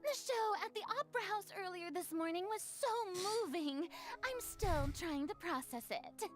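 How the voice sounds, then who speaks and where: A woman's voice: very high-pitched, lively intonation, normal speed. A woman playing a haughty, theatrical young female deity in a video game, grandiose but easily flustered and stammering. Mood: flustered, evasive.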